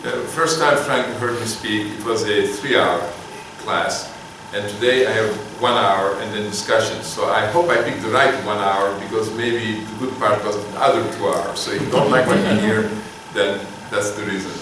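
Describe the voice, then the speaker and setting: A middle-aged man gives a guest lecture, pausing often with fillers and self-deprecating asides. His voice sits low and reaches the mic from a distance. The big hall echoes heavily, with a clear room hum behind him. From Turkey. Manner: halting, filler-heavy, self-deprecating humor. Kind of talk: informal university lecture. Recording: echoey hall heard from afar.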